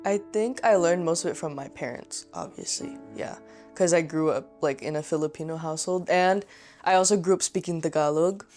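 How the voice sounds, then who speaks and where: Young woman, low register, relaxed filler-heavy interview talk over soft music. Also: a little fast. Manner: chatty, lots of filler words. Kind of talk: casual documentary interview.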